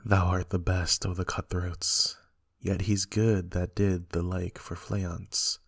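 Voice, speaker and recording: A man playing a guilt-ridden usurper king in a Shakespearean dramatic reading, with measured, declamatory verse delivery. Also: sits low, level tone, creaky, slightly rough, heavily acted. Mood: brooding, uneasy. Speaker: amateur actor in a library's dramatic reading.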